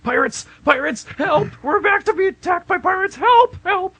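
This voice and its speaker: A man voicing a panicky, excitable goldfish with frantic, exaggerated alarm in an amateur radio comedy. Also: very high-pitched, normal speed, no room sound, pitched above the performer's own voice, exaggerated voice. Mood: panicked. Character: panicky, excitable male goldfish afraid of pirates.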